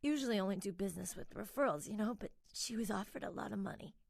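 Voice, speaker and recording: An actress playing a feverish, weak call girl in a video game, coughing and speaking haltingly, with a sweet, wistful temper. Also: mid-range voice, gravelly, dry recording, studio quality. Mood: weak, confused. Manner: halting, faltering, trailing off. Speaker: game character.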